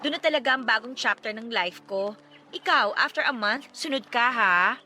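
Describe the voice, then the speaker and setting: Actress as teasing young woman, very high pitch, playful, forgiving, audio drama dialogue over music. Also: animated, moderate pace, bright, forceful tone. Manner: playful, Taglish-mixing speech.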